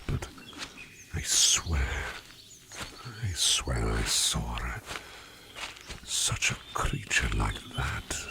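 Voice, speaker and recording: A man voicing a gentle sleep demon in human disguise, speaking formally and haltingly in a breathy near-whisper for an ASMR roleplay. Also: rumbling low, heavily acted. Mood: hushed wonder. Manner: slow, halting, formal phrasing. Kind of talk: ASMR roleplay, personal attention. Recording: noisy, outdoor nature sounds behind.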